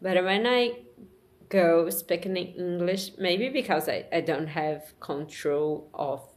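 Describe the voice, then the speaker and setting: Woman, halting English monologue, very slow and soft-spoken. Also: on the low side. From Brazil. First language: Portuguese. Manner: halting, searching for words, many pauses and restarts. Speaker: vlogger. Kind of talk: personal reflective monologue.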